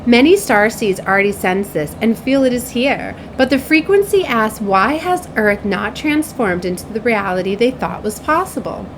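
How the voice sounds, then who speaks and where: A woman's voice: a little high, lively intonation, normal speed, hardly any pauses. A woman giving an informal, effusive spiritual card reading, drawing out key words for emphasis. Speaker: tarot and oracle card reader. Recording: clearly noisy background.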